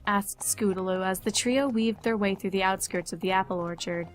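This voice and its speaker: Female narrator, mid-range voice, scripted storytelling with soft music underneath. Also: mid-range voice, normal speed.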